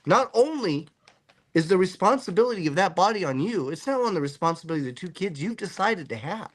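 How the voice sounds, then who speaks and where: A man hosting a podcast in casual chat, animated and joking as he rambles through his musings. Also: high-pitched, quick pace, a little hiss or hum. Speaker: podcast host.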